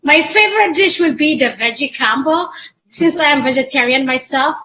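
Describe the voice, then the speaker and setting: A high-pitched woman chef from Ethiopia and Israel, chatting as a guest on a local interview show. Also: moderate pace, sharp tone. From born in Ethiopia, grew up in Israel. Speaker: chef and restaurant owner, guest. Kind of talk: interview answers.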